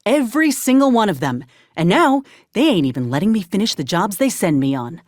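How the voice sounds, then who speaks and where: Gruff merc lady, ex combat medic in a game, guarded n sardonic, really lively pitch, dry studio sound. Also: brisk, no room sound. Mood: bitter, weary. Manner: folksy, blunt, sardonic. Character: tough, guarded, weary former combat medic turned mercenary troubleshooter. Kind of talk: video game character acting.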